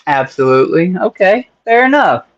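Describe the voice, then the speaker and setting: A man's voice: high-pitched, big pitch swings, normal speed, lo-fi sound. A man hosting a casual interview, joking around and rambling with lots of fillers as he asks his questions. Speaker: interview host.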